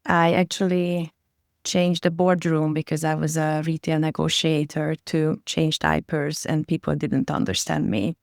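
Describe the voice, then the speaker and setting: A woman's voice: low voice, gravelly, darkish tone, no echo, studio quality. A woman from Hungary with a Hungarian accent, a native Hungarian speaker, telling her story earnestly as an interview guest. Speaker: guest, parenting coach.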